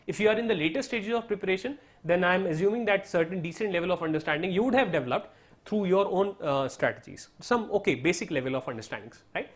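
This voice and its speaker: A man with an Indian English accent, a native Hindi speaker, teaching in an explanatory, step-by-step way. His voice sits a little higher than most men's, and he keeps checking in with "right?" as he goes. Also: animated, fast. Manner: explanatory, repeats "right?" and "so therefore", mixes in Hindi. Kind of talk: online lesson on study strategy.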